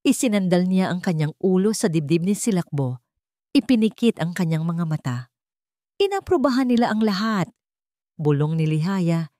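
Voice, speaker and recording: A woman reading a scripted romance story as narrator, in measured, sentimental short phrases. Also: on the low side, darkish tone, no echo, studio quality.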